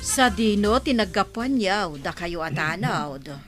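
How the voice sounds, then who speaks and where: Woman acting a slow, bright village councilor. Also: mid-range voice, expressive, faint music behind. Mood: questioning. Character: questioning female village councilor. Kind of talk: comedy drama acting.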